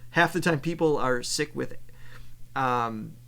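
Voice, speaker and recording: A man's voice: mid-range voice, slow, gravelly, fairly bright tone. A man from Rochester, New York, with a slightly nasal voice, a vocal coach explaining singing mechanics in casual, technical terms. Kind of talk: casual explanatory reaction chat.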